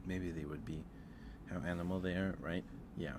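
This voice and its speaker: Low soft guy voice, asmr streamer chatting casually, kinda hesitant and rambly, noticeable noise in the background. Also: fairly even intonation, moderate pace. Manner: soft-spoken, rambling, hesitant, thinking aloud. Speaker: livestream host. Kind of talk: casual ASMR livestream chat, ranking aloud.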